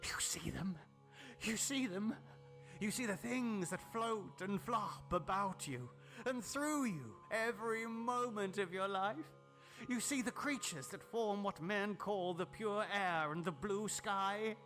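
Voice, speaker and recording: A man's voice: high-pitched, lively intonation, soft background music, exaggerated voice. A man voicing a mad, gloating scientist full of hatred in a horror audiobook, theatrical and taunting, drawing out his words. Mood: manic triumph. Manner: theatrical, taunting, drawn-out words, shouted outbursts. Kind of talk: dramatic horror audiobook character reading.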